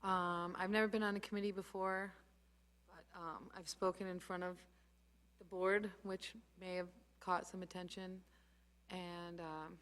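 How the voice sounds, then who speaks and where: A woman's voice: lowish voice, a bit bright. A woman, new to committees, introducing herself at a meeting in a tentative, plain-spoken way. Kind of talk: informal self-introduction at a meeting.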